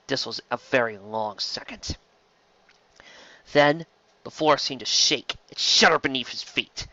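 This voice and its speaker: Male narrator for dramatic sci-fi fiction: bright, intense delivery, mid-pitched, slightly dull recording. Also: measured pace. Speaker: story reader.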